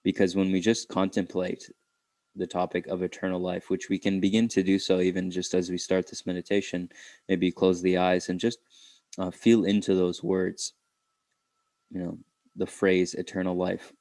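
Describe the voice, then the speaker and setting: A man leading an unscripted guided meditation, speaking reflectively in halting phrases with pauses. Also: deep voice, monotone, darkish tone, no room sound.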